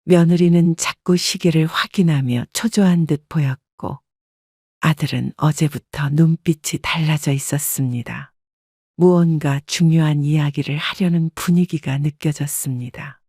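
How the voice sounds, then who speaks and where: Older woman narrator, calm measured first-person storytelling, dry close sound. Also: deep voice, no echo.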